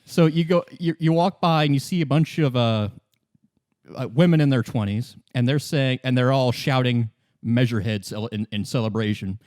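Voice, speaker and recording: A man with a medium-pitched voice runs a tabletop game. He narrates casually, improvising as he goes, and sounds close and dry. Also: normal speed, darkish tone, no echo. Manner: conversational, improvised. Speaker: game master.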